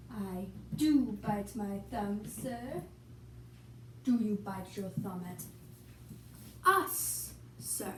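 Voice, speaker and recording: A young woman performs archaic stage dialogue in an amateur, theatrical way. Her voice sits fairly high and moves at a slow pace. It sounds like a homemade puppet show recorded a little away from the mic. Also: darkish tone. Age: young adult. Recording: echoey room, distant mic, hissy noise.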